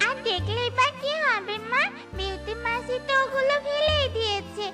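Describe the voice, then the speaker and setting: A woman's voice: very high-pitched, moderate pace, music in the background. A woman voicing an eager, innocent little girl bird in a cartoon, childlike and chirpy. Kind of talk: cartoon voice acting.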